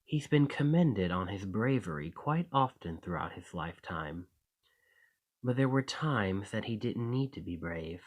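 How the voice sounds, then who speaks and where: A sinister shapeshifter acted by a man speaks intimately in an ASMR drama. The voice sits fairly low and moves very slowly. The delivery is deliberate and coaxing, with a possessive, softly threatening edge. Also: expressive. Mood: tender, obsessive.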